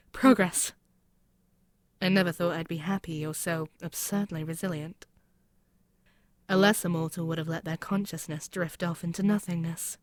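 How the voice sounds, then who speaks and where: A woman voicing a proud, mocking demon queen in an intimate ASMR roleplay, speaking in a haughty, deliberate, musing way. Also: mid-range voice, no echo, lightly acted. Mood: amused, condescending. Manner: haughty, deliberate, musing, with pauses and murmured asides. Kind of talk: scripted ASMR audio roleplay, spoken intimately to the listener.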